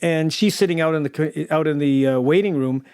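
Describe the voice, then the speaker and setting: Man, slightly high voice, dry close sound, conversational producer in an interview, storytelling. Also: brisk, a little mellow, clean tone, no echo. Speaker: audio drama producer.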